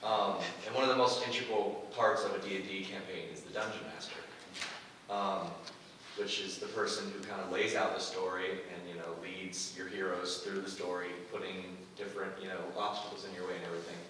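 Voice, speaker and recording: A man's voice: sits low, level tone, a little fast. A man offering a suggestion from the audience, explaining it casually and without a script. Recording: echoey room, distant mic, background noise.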